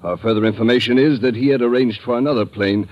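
An actor playing a formal, authoritative colonel in a mid-century radio drama, with an officious way of speaking. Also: male voice, medium pitch, fast, natural voice. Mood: stern, official. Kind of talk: 1940s-50s radio drama acting. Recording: dull, muffled old radio recording.

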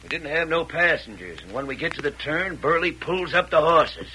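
An actor playing an excitable, aggrieved stagecoach man with folksy cowpoke talk in an old radio western. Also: fairly high pitch, moderate pace. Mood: reluctant, aggrieved. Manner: folksy cowpoke dialect, ungrammatical. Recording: slightly noisy old radio recording.

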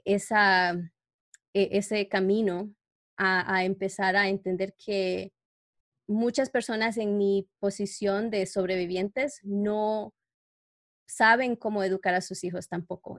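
A middle-aged woman who grew up in New York, talking as an interview guest. Her pitch sits in an ordinary range for a woman. She speaks reflectively and personally, often halting to find the right word. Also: flat delivery. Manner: halting, searches for words in Spanish. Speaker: interview guest, educator for parents who are abuse survivors.

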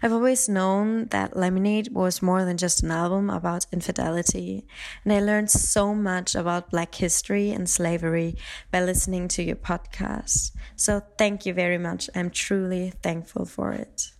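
Woman from Germany, lowish gravelly voice, grateful listener message. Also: steady tone, normal speed, darkish tone.

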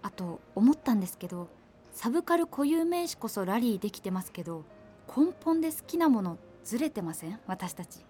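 Cool-headed young lady in a radio play, polite but blunt, highish voice over music. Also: very animated, a little fast, dark tone. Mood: frank. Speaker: actress in a radio drama. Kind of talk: naturalistic radio drama dialogue.

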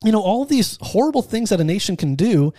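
A man co-hosting a casual faith podcast, talking earnestly with halting restarts. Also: high voice, very animated, warm tone, no echo. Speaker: podcast co-host.

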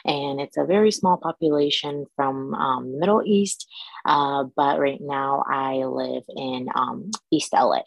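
A young woman from Iraq talks through her path as a dental student, measured and thoughtful, pausing often. Her voice sits very low with a gravelly edge, recorded dry and close. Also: fairly even intonation, no room sound, muffled recording. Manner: measured, thoughtful, frequent "um" pauses. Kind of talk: casual interview answers.

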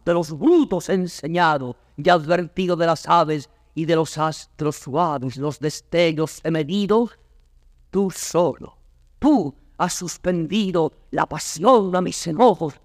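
An actor from playing a wretched, wild young captive prince in solemn, declamatory 1950s classical verse drama. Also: male voice, on the high side, vocal fry, dry recording, clean studio sound.

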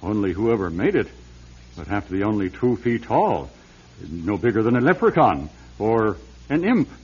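An actor playing a genial, tactful aging bishop in a radio drama, with a husky avuncular voice on a muffled recording. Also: male voice, fairly low pitch, moderate pace. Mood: curious. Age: older. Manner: avuncular, hesitant, animated.

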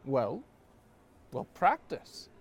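A man's voice: mid-range voice, wildly swooping pitch, drawn-out words, breathy edge, heavily acted. A man playing a pompous, fussy swordsman in a fantasy audio drama, speaking in a formal way. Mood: curious, stubborn.

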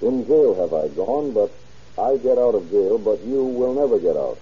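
Deputy in old radio show, deep-ish husky voice, deliberate, deferential, dull recording. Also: male voice, sing-song, exaggerated voice. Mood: deliberate reading. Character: dutiful deputy, deferential, methodically reading out evidence. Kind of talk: old-time radio crime drama acting.

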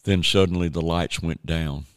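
A man's voice: low-pitched, fairly even intonation, moderate pace, clean tone, no room sound, clean studio sound. A man narrating a radio drama in a dramatic storytelling manner.

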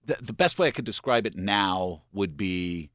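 A very deep, slightly gravelly voice belongs to a man in his sixties, an old radio hand from Pennsylvania. He tells his story in a relaxed, explaining way full of asides. The studio sound is close and dry. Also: normal speed, no echo. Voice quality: gravelly from scotch and cigarettes. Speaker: radio program director, guest. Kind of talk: casual interview storytelling.

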